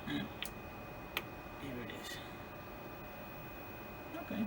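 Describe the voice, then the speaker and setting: A man in his forties with a Dutch accent, repairing an old radio and thinking aloud step by step with hesitant pauses. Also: very noisy. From Netherlands. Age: 40s. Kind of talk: hands-on repair vlog.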